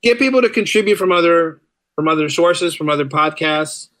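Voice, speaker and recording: A man with a slightly high voice talks as a guest in a casual interview. He is talkative and informal, circling back to repeat phrases for emphasis. The recording is dry, with very little room sound. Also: a little fast, fairly bright tone, no echo. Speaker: podcast guest, writer and musician.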